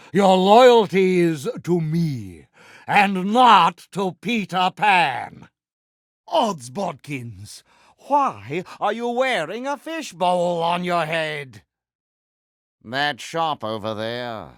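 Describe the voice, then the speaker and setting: Vain pirate villain, British accent, highish swooping pitch, grandiose, mannered, theatrical game voice. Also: male voice, very animated, slow, dry recording, studio quality, exaggerated voice. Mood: stern, condescending. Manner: pompous, grandiose, mannered formal speech. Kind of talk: theatrical video game character voice acting.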